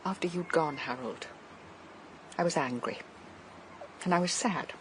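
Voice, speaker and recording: An actress playing a frail, loving elderly woman near death in a radio drama, speaking in soft, halting, tender phrases. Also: deep voice, slight background noise. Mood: rueful. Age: older. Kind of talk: BBC radio drama acting.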